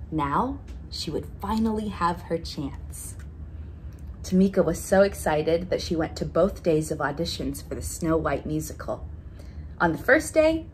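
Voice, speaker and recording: Female storyteller, slightly low pitch, expressive children's read-aloud, stretched emphasis, background noise audible. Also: poor audio. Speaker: storyteller reading a picture book aloud.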